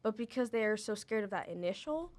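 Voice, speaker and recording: Lively young woman co-host. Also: medium pitch. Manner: lively, quick to laugh, heavy use of "like". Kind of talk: casual conversation.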